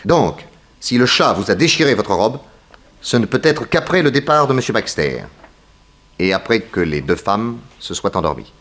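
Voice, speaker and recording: A shrewd detective from a vintage French radio mystery. His pitch moves boldly up and down as he sets out his case with theatrical authority. He sounds deliberate and sure of his command over the suspects. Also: very animated, a bit bright. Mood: accusing, commanding.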